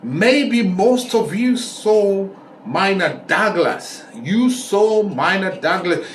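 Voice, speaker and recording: A man's voice: sits high, expressive, slow. A middle-aged man with a Kenyan accent telling stories casually as a vlog host, repeating phrases for emphasis. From Kenya, raised in Nairobi. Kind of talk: casual talk to camera, storytelling. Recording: roomy echo, distant mic, faint noise.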